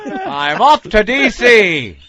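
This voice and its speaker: Man, comic radio banter, lively swooping pitch, slow pace, teasing. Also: crowd noise. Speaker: radio show host.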